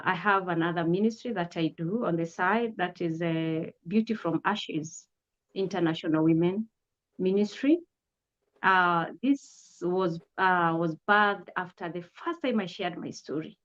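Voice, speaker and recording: A woman in her forties, a pastor, speaking earnestly and gratefully in an interview, pausing often on "uh". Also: lowish voice. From Kenya. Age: 40s. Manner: earnest, grateful, measured with frequent "uh" pauses. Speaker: pastor and guest. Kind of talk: testimony interview. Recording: dull lo-fi audio.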